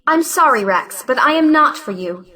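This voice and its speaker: A woman's voice: high-pitched, animated, moderate pace, bright, forceful tone. An actress playing a proud, frank young woman in an audio play, speaking with emphatic dignity. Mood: proud, scornful. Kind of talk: audio play acting of a 1910s stage comedy.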